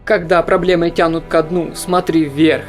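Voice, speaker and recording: A man reading a scripted voice-over about animated films in an even, informative manner. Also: fairly high pitch, moderate pace. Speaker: film review narrator. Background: soft background music.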